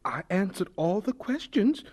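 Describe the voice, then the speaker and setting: Earnest young student, stammering, deferential, lively swooping pitch, audio drama. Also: male voice, unhurried, full character voice. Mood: flustered, pleading. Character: earnest, nervous young male student pleading politely.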